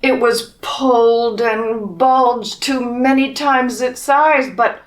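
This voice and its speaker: A woman reading a children's storybook aloud in an expressive way, as if to young listeners. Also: on the high side, slow pace, far from the mic. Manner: expressive, asks listeners questions, drops to whispers. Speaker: storybook reader. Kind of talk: children's picture book read-aloud.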